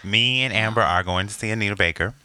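A man co-hosting a podcast in casual chat, playful and laughing often, jumping between loud bursts and quiet asides. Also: lowish voice, fast, no echo. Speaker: podcast co-host.

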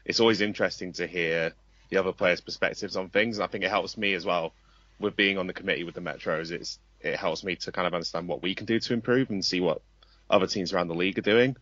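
A low-voiced man speaks as a podcast guest, thoughtful and measured. The recording is dry with no room sound and a little dull. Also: fairly even intonation, a bit bright, dry recording. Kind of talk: casual podcast chat. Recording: dry, slightly dull audio.

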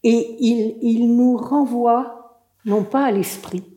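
A woman's voice: fairly high pitch, fairly even intonation, takes it slowly, mellow. A woman, a translator and author, talking reflectively as a guest on a panel and searching for her words. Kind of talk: panel conversation. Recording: roomy echo.

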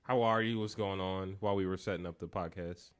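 A man's voice: low voice, fairly even intonation, a little fast, clean tone. A man in his thirties hosting a casual podcast from a home setup, talking in a laid-back, joking way. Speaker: podcast host. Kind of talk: casual chat. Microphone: desk microphone.

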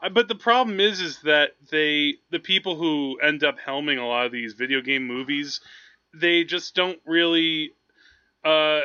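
Bright, sharp-voiced man chatting about films. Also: a little high, steady tone, measured pace, clean tone, dry recording, highs cut off. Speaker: podcast co-host. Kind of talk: casual movie chat.

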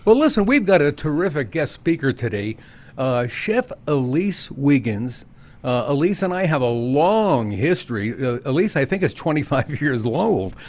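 A man's voice: medium pitch, very animated, moderate pace, a little mellow. A man hosting a podcast interview, introducing a guest with many hesitant uhs. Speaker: show host.

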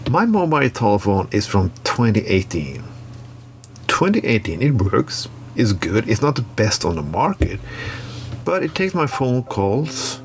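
Low-voiced Norwegian man telling a story. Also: sing-song, measured pace, slight background noise. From Norway. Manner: rambling, repeats himself, swears freely. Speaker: podcast co-host presenting a segment. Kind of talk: casual storytelling monologue.

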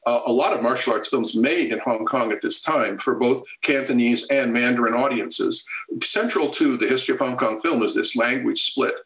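A man answering interview questions at length in a measured, lecture-like way, with frequent pauses and fillers. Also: medium pitch, a bit bright, roomy sound, poor audio. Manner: measured and expository, many fillers and restarts. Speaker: film scholar guest.

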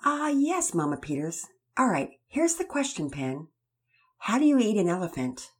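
A woman voicing a patient, devout father who gently teaches his daughter. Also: mid-range voice, sing-song, normal speed, husky, pitched above the performer's own voice. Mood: instructive. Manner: gentle, instructive, scripture-quoting.

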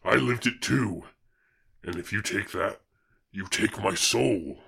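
Brooding swamp creature, put on by a male podcaster: very low, raspy, strained and pleading. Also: slow pace, bright, forceful tone, exaggerated voice. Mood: defiant. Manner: pleading, dramatic reading. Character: anguished swamp monster, brooding and desperate.

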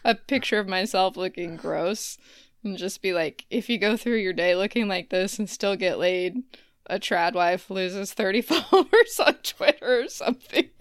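A woman co-hosting a comedy podcast with casual, self-mocking banter and satirical news jokes, giggly and quick to laugh. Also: mid-range voice, expressive, clear, resonant tone, no echo, studio quality. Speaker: podcast co-host.

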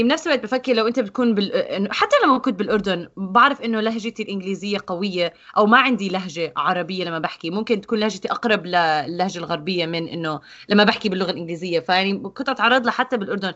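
Brief: young woman, rapid casual chat, dull muffled call audio. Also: medium pitch, fairly bright tone. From Jordan. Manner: fast, thinks aloud with restarts.

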